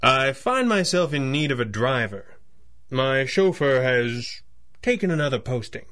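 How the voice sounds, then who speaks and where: An actor playing a wealthy young 1930s gentleman in a radio drama, formal and polite with a dry wit. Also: medium pitch, big pitch swings, a little slow. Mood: composed, persuasive. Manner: formal, courteous, dryly witty.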